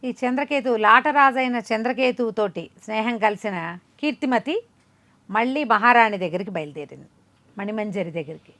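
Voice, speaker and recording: Female storyteller, higher-pitched voice, chatty and animated folk-tale delivery. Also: normal speed. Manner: conversational, explanatory asides, acts out dialogue.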